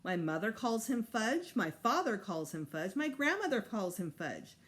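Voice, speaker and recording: Woman as an earnest schoolboy, lively pitch. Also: moderate pace. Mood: insistent. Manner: direct, questioning. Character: earnest, worried schoolboy, loyal big brother.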